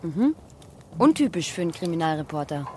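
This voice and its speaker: A woman's voice: sits low, big pitch swings, a little fast, dark tone, slight background noise. A woman playing a confident young policewoman in a naturalistic radio drama, with a dry, self-assured way of talking. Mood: dry, teasing. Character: cool, confident, dryly teasing young policewoman.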